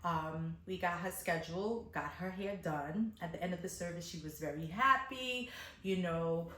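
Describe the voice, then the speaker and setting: A woman telling a casual, animated story to viewers, retelling events dramatically as a hairstylist. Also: on the low side, normal speed, reverberant. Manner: dramatic retelling, acting out dialogue.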